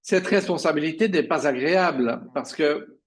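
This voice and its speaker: A man interpreting conference speeches live, in a halting delivery with drawn-out pauses. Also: a little high. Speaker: simultaneous interpreter.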